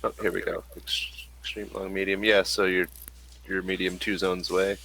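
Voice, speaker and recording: A man acting as game master in a tabletop role-playing game, talking casually and somewhat haltingly. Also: low-pitched, little pitch movement, vocal fry, bright, forceful tone, slight background noise, low-quality recording.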